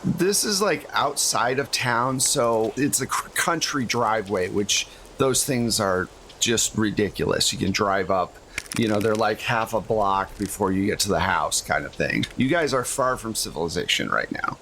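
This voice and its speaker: A man's voice: on the low side, lively intonation, moderate pace, a bit bright, a little hiss or hum. A man narrating a tabletop roleplaying game as game master, in a casual, conversational style.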